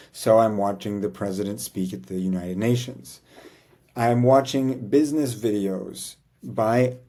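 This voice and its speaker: A man's voice: mid-range voice, mellow. A man vlogging about learning a language, talking in an earnest, reflective way and often ending thoughts with "right?". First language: English. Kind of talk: casual talking-head vlog.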